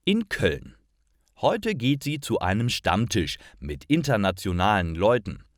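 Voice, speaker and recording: Guy with a lowish voice reading learner stories really slowly, dry close mic. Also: no room sound. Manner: clear, carefully paced reading. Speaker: storyteller for German learners. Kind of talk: scripted slow narration.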